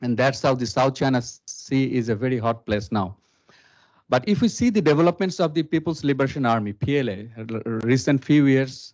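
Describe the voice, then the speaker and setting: A man's voice: mid-range voice, a little mellow. A man with a Bangladeshi accent, a student officer asking a question in a lecture hall, polite and formal, addressing the speaker as sir. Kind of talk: audience question. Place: large lecture auditorium. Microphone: handheld mic. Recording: slightly dull lo-fi sound.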